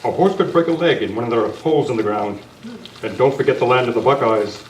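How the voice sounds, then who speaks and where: A man's voice: medium pitch, expressive, fast, natural voice. A man playing an upright masked western hero in an amateur radio western, with plain, proper speech. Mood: reasoning, wry. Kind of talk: amateur old-time radio western acting. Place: club meeting room, far camera. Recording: echoey, distant camera sound, clear noise.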